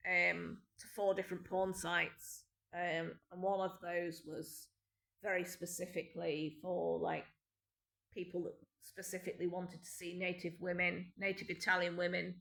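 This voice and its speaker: A middle-aged woman with a British accent talks on and on in a clear, bright voice, aggrieved and rambling, stopping often to restart her sentences. Her voice bounces around an echoey room at home. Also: fairly low pitch. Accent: British English. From Britain. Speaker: vlogger. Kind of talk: unscripted personal monologue. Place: home indoors.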